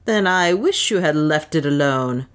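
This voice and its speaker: A woman's voice: low voice, expressive, unhurried, smooth. A woman reading aloud and voicing a menacing male slaver chief who talks in coarse, thick tones. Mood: snarling.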